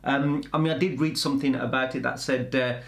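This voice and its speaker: A middle-aged man giving a casual film trailer review in halting, rambling explanations. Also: mid-range voice, fairly even intonation, vocal fry. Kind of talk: casual reaction chat. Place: indoor room with bookshelves. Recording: echoey indoor room.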